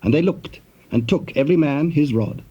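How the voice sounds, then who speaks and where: A man's voice: a little high, animated, moderate pace, mellow, husky. A man narrating dramatised King James scripture in a solemn storytelling manner. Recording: slightly muffled cassette tape.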